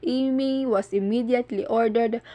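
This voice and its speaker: A woman's voice: fairly high pitch, steady tone, moderate pace, dark tone. A woman giving scripted narration for an amateur cartoon story.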